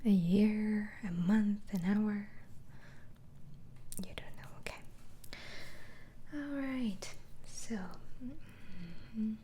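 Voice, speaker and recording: Female ASMR performer as a patient asylum doctor: slow, hushed whisper, gentle hesitant questioning, audible background noise. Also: medium pitch. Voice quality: breathy whisper. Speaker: ASMR roleplayer playing an interviewing doctor. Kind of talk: ASMR roleplay, soft whispering.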